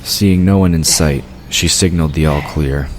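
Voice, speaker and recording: Very deep-voiced male fantasy narrator. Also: moderate pace. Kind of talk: scripted fantasy audio drama narration. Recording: clearly noisy background ambience. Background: outdoor ambience sound effects.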